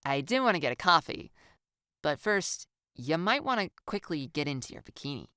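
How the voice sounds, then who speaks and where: Playful, excitable young woman, played by a woman narrator: teasing, theatrical, lively and crisp, for audiobook dialogue. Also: sits low, normal speed, no room sound, clean studio sound, voice pushed up, exaggerated voice. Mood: cheeky, coaxing.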